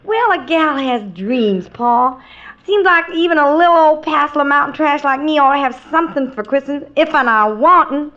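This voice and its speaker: A woman's voice: sits high, moderate pace, bright, forceful tone, lo-fi sound. A woman voicing a plaintive, sassy hillbilly mountain wife in a 1950s radio comedy sketch, on an old recording. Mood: wistful, sassy.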